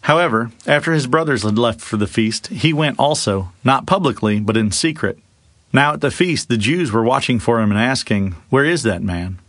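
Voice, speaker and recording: Male scripture reader, mid-pitched, expressive narration, close dry sound with no room. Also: normal speed, no room sound. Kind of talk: Bible reading, narration with dramatized dialogue.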